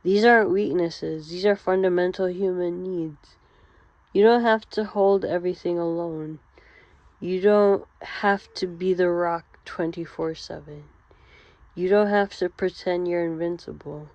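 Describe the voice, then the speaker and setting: Slow-speaking woman guiding a therapy-style monologue. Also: fairly low pitch, fairly even intonation. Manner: gentle, deliberate short phrases with pauses. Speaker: therapy-style guide addressing men. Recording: roomy, slightly dull lo-fi sound.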